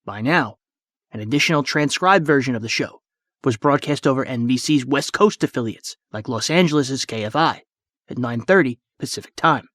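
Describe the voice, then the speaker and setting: A man's voice: mid-range voice, lively intonation, moderate pace, a bit bright, no room sound, studio quality. A man giving scripted documentary narration as the narrator of a history podcast. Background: music.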